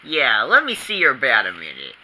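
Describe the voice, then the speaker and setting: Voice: medium pitch, wildly swooping pitch, moderate pace, very noisy. A performer voicing an exasperated middle-aged father.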